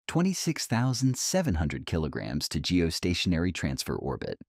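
A man's voice: sits low, a little mellow, husky, dry recording, studio quality. A man reading a scripted explainer narration in a casual, conversational tone.

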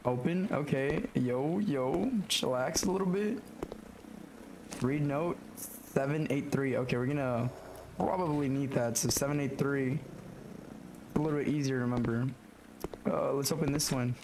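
A young man with a hoarse, raspy voice from being sick, talking through a horror game and reacting to scares. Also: mid-range voice, normal speed, long pauses, background noise. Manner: jumpy, swearing, talks to himself while playing. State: hoarse from illness. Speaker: gaming YouTuber. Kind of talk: horror game let's play commentary. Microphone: headset.

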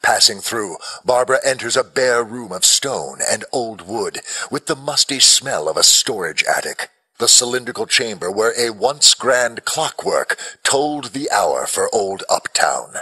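A man's voice: mid-range voice, animated, unhurried, pushed and bright, husky, rough recording. A man reading scripted, dramatic narration as the narrator of an audio drama. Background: music.

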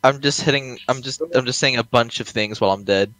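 A man's voice: medium pitch, a little fast, fairly bright tone, no room sound, rough recording. A man teasing and joking with his teammate while spectating a game, laughing often. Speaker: gamer teammate. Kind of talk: casual gameplay chat. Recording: dry close sound with game music behind.

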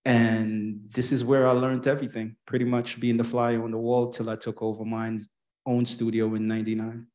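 A man who is a music producer, speaking on a panel into a handheld mic in a large event space, relaxed and folksy, addressing the crowd as y'all. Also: mid-range voice, moderate pace. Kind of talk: panel talk.

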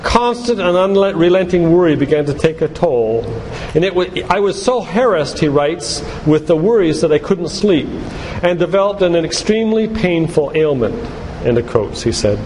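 An older man giving an earnest, deliberate sermon-like talk with stories and read-out quotations. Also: a little high, lively intonation, darkish tone. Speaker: physician and lay preacher giving a church talk. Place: church hall, live talk to congregation. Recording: reverberant church hall, background noise.

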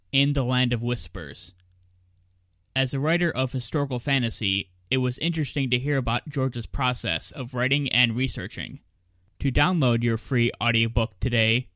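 A man's voice: medium pitch, a bit bright, no room sound, clean studio sound. A man speaking as a podcast host, in the plain style of interview questions and announcements. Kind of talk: interview questions and scripted sponsor read.

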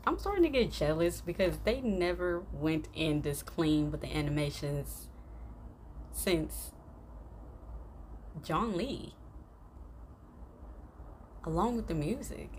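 Slow, low-voiced woman reacting casually. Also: very animated, darkish tone. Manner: slangy, halting, repeats herself. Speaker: reaction video host. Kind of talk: casual off-the-cuff reaction commentary. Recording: noisy room recording.

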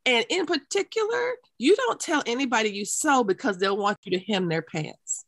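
A woman's voice: on the high side, lively intonation, normal speed, a bit bright, no echo. A woman hosting a sewing podcast interview, playful and exclamatory. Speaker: podcast host.

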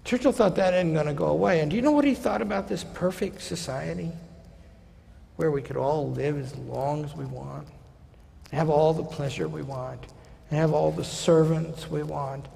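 A man in his sixties, a college president, gives a seminar lecture from a podium, conversational with wry asides and slowing for quotations. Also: on the high side, gravelly, warm tone. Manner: conversational, wry asides, slows down for quoted passages. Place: large lecture hall stage at a podium. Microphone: podium microphone. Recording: hall reverb.

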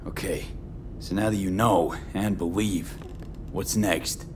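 A man's voice: low-pitched, steady tone, slow, background noise. An actor playing a tough, wry young male mercenary in a video game, blunt and slangy. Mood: firm, wry.

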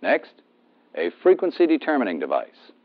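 American man narrating, lively pitch, vintage soundtrack. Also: moderate pace. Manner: methodical, explanatory, emphatic on key terms. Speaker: instructor narrating a military training film. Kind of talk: instructional scripted narration. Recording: dull, band-limited 1970s film soundtrack.

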